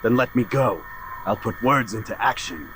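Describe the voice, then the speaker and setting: An actor playing a defiant, principled assassin in a video game, a betrayed student who speaks tersely and earnestly. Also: male voice, medium pitch, moderate pace, natural voice. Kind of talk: dramatic video game cutscene acting. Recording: noisy game cutscene audio.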